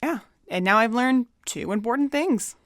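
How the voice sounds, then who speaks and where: A woman's voice: fairly high pitch, expressive, moderate pace, no echo, clean studio sound, lightly acted. A woman playing a bold, flippant pony novelist in an audio drama, speaking in a teasing, wry way.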